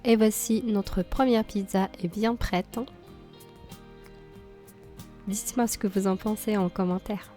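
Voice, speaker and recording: A woman with a cold and a slightly hoarse voice, calmly explaining a cooking tutorial step by step. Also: medium pitch, moderate pace, faint music behind. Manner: calm, explains step by step with small fillers. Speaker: cooking channel host.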